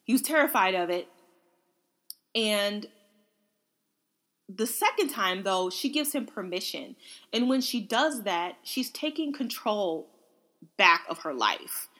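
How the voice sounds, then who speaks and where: A woman talks as a book reviewer hosting her own podcast. Her delivery is casual and conversational, moving between retelling and analysis. She sometimes slips into informal renditions of what characters say. Her pitch sits in a middle range for a woman. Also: big pitch swings, slow, pauses often, a bit bright. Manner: conversational, acts out quotes informally.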